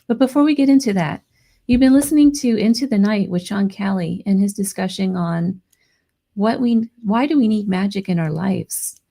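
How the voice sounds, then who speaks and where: A woman hosting a live paranormal radio show, hoarse and losing her voice, chatting excitedly with her listeners. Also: mid-range voice, moderate pace. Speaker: radio podcast host. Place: home studio near Las Vegas.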